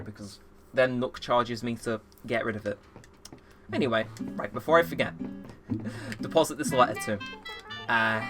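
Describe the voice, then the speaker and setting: An eighteen-year-old man chatting casually through a let's play, rambling and poking fun at himself. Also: medium pitch. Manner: rambling, self-mocking, chatty. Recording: game music playing under the voice. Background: game music.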